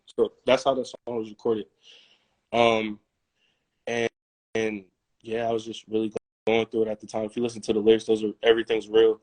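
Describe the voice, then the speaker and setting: A young man, a musician guest in a casual interview, telling his story in a halting way full of restarts and fillers. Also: on the low side, monotone, darkish tone, no echo, poor audio.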